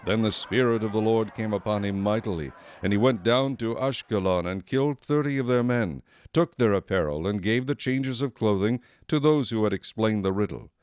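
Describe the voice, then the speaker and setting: Man narrating bible audio drama, lowish voice, scripted, really dry recording. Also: moderate pace, few pauses, darkish tone, no echo.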